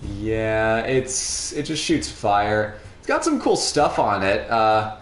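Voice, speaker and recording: Halting young man streaming in an echoey room. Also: fairly low pitch, very animated. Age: young adult. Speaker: host of a gaming livestream. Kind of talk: casual chat while playing a game. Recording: roomy echo, faint background noise.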